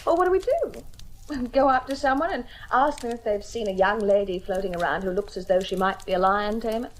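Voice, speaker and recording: Radio mystery sidekick, played by a woman: young female, medium pitch, playful, wry, a touch sceptical. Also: fairly quick, close to an everyday voice, poor audio. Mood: wry, teasing. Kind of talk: old radio mystery drama acting.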